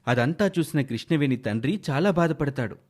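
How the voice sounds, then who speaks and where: A man's voice: a little high, animated, a little fast, a little mellow. A man narrating a scripted moral story in a dramatic storytelling style.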